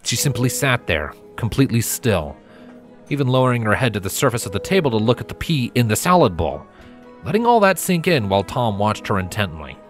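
A man's voice: medium pitch, big pitch swings, a little fast, faint music behind. A man reading a scripted fan fiction story aloud as a narrator. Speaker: audiobook narrator.

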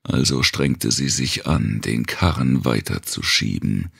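A man reading a dark thriller audiobook in a measured, deliberate way, with a gravelly, husky voice. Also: very deep voice, no room sound, clean studio sound. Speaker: audiobook narrator. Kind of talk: scripted narration of a dark post-apocalyptic thriller.

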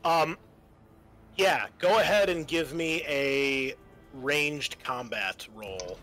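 A man's voice: fairly high pitch, slow, sharp tone, soft background music. A man narrating a tabletop horror game as game master, improvising in an informal, descriptive way with some salty language.

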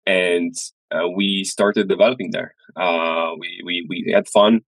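Very deep-voiced man. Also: fairly even intonation, measured pace, fairly bright tone, no room sound, rough recording. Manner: hesitant, many uh fillers and repeats. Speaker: guest, studio founder. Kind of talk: casual interview. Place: small room indoors, video call. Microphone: headset.

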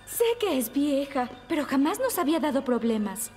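A kindly cartoon mother with a Latin American accent. Her high voice moves in lively, affectionate swoops, with music behind it. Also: normal speed. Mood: curious, amused. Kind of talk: animated children's cartoon dub.

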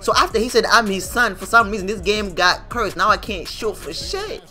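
A man's voice: high-pitched, very animated, moderate pace, bright, forceful tone. A man doing casual, slangy, profane trash-talking commentary over a video game. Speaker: gamer voicing parody commentary. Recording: music behind the voice.

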